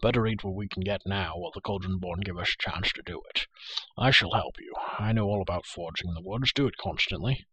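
A man's voice: low-pitched, fast talker, bright, forceful tone, breathy edge, no room sound, heavily acted, rough recording. A man voicing a boastful, hungry, world-weary wandering bard in an audiobook. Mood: blustering. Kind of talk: audiobook character voice.

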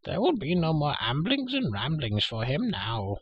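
Whimpering, high-pitched hairy creature. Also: male voice, huge pitch swings, moderate pace, husky, dry recording, lo-fi sound, full character voice. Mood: anguished moaning. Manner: pleading, self-pitying, third-person chatter. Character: whimpering, greedy, fawning hairy creature, talks in rhymes. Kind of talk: audiobook character voice.

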